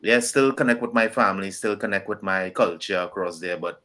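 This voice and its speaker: A man's voice: sits low, brisk, bright, forceful tone. A middle-aged man with a Trinidadian accent, talking casually as a musician guest in an interview. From Trinidad and Tobago.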